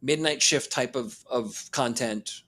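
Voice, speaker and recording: A man's voice: medium pitch, takes it slowly, bright, forceful tone, breathy edge. A man from Hawaii co-hosting a podcast, talking in a reflective, meandering way with lots of fillers. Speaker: podcast co-host. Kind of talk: casual chat.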